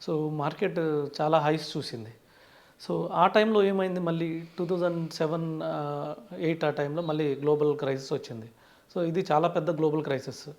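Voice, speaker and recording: Higher-pitched Telugu man explaining in an interview. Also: fairly even intonation, normal speed, darkish tone. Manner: frequently opens sentences with "so". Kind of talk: explanatory interview talk mixing Telugu with English terms.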